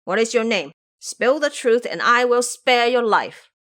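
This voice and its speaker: A woman's voice: fairly high pitch, animated, a little slow, fairly bright tone. A woman playing a blustering male boar monk and old warrior in an audio drama, blunt and self-important. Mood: indignant.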